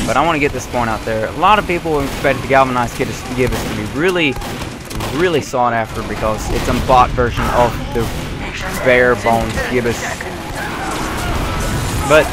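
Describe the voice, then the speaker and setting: A young man giving rambling, chatty commentary while playing a game, his voice getting hoarse. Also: medium pitch. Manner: rambling, self-correcting, chatty. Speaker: gaming video host. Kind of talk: casual gameplay commentary. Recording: loud game music behind. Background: game sounds and gunfire.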